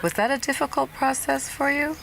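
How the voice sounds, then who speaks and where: A woman's voice: high voice, brisk, vocal fry, a bit bright. Encouraging woman interviewer, noisy outdoors. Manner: encouraging, many short affirmations. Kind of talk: friendly interview questions. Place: outdoors in a garden.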